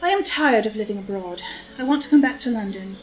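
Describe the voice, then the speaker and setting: A woman's voice: mid-range voice, expressive, a little fast, soft background music, lightly acted, rough recording. An actress playing a worldly, scheming society woman with a languid, arch wit, in an audio drama reading of a stage play. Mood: arch, amused. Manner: languid, arch, epigrammatic delivery. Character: scheming, worldly, witty society woman, cynical and coolly seductive.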